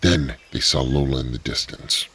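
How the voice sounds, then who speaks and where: A man narrating a radio drama in a steady, low storytelling delivery. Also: normal speed, creaky, breathy edge.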